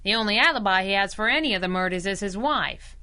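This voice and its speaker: A woman's voice: mid-range voice, lively intonation, fast, pushed and bright, clean tone. An actress playing a plucky, teasing young lady chauffeur in a 1930s-style radio drama, wry and sassy.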